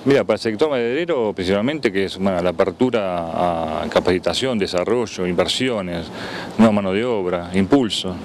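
A man in his fifties with an Argentine accent answering a TV interview, pausing as he lists things. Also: low voice. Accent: Argentine Spanish. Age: 50s. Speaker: trade fair organizer being interviewed. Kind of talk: on-location TV interview. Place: outdoors beside a building near a road. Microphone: handheld interview microphone. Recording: outdoor street and traffic noise.

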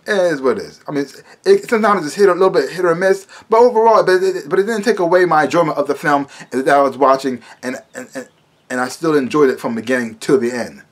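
An American man in his thirties with a voice a little higher than average talks casually to a camera at home. He sounds like a vlogger giving a review, emphatic and prone to repeating words and phrases as he goes. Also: quick pace. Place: small room at home, indoors.

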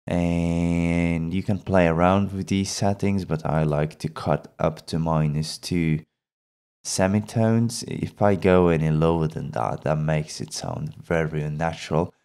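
A man presenting an instructional software tutorial as a voice-over, explaining things in a measured way on a close mic. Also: low voice, vocal fry, smooth. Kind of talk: instructional screen-recording voice-over.

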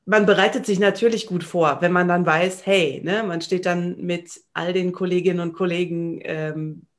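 A woman's voice: on the low side, steady tone, moderate pace. Woman chatting enthusiastically in an interview. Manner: enthusiastic, playful. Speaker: voice actress, interview guest. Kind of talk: conversational interview. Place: small indoor room at home, video call.

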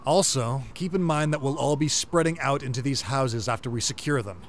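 Steady survivor leader, noisy recording man acting. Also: medium pitch, moderate pace, lightly acted. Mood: commanding. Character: adult male survivor group leader, steady and practical. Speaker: group leader in a dramatized comic dub. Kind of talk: amateur comic-dub voice acting.

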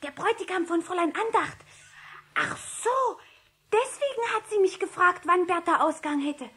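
A woman's voice: very high-pitched, animated, normal speed, pushed and bright, full character voice. A woman playing a precocious, bold little rich girl in a 1960s German radio play. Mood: pert, excited. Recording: noisy old radio play with street ambience.

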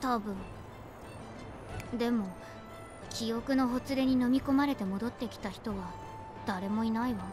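A woman playing a composed, mysterious young guide in a game cutscene, measured and matter-of-fact. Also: fairly high pitch, music in the background, natural voice. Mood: grave. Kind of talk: animated game cutscene acting.

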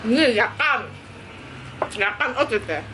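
A woman's voice: fairly high pitch, expressive, a little slow. A young woman from South Korea, a student abroad, chatting playfully and teasingly with friends on a handheld camera vlog. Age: young adult. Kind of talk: casual chat with friends. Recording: crowd chatter and background noise.

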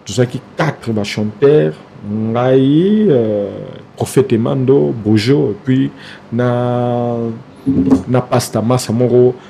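Congolese singer, 40s, Kinshasa accent; low gravelly voice, hesitant and full of fillers, close studio mic. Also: male voice, dark tone, slight background noise. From Democratic Republic of the Congo. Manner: halting, many fillers and restarts. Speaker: singer guest. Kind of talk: casual interview talk mixing Lingala and French. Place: small TV studio, indoors. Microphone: desk mic on stand, close.

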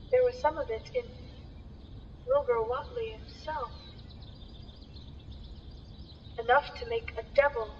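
A woman playing a grave, learned doctor in a radio drama, speaking in a solemn, deliberate, declamatory way. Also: high-pitched. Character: grave, learned doctor warning of otherworldly evil. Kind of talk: theatrical radio drama acting. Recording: muffled, echoing, distant, noisy.

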